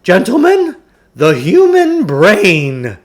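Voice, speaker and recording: A man's voice: sits high, huge pitch swings, takes it slowly, pitched above the performer's own voice, heavily acted. A man voicing a pompous, grandiose storybook showman who lectures on his crackpot theories. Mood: grandiose lecturing. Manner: grandiose, speaks of himself in third person. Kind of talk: storybook character voice in a read-aloud.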